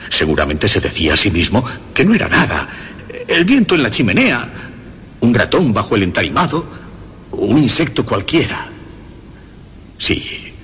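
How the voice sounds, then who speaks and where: An actor playing a deranged, cunning murderer in a radio horror monologue, brooding and theatrical, with dramatic pauses and sudden swells. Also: male voice, sits low, fairly bright tone, heavily acted. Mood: gloating, ominous. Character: deranged, cunning murderer confessing with morbid pride. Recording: long reverb, faint background noise.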